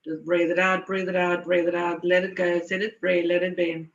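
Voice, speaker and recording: A woman slowly guiding a meditation in a soothing, unhurried way, with lingering pauses. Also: fairly low pitch, level tone, gravelly. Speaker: guided meditation leader. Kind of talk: slow guided meditation. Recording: dull, echoey room recording.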